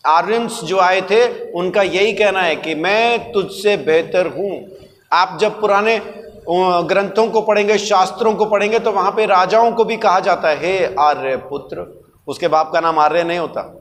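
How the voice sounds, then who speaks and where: A man's voice: high voice, a little slow, a bit bright, slight background noise. A man preaching a sermon in an emphatic, teaching manner, using rhetorical questions and repeating key words. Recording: long hall reverb.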